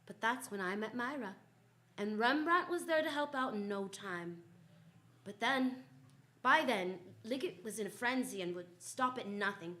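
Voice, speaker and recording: A woman's voice: on the high side, normal speed, sharp tone. A woman playing a confident, wry female private detective who narrates and acts in old-time radio style. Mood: storytelling. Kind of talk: 1940s radio detective drama, first-person narration.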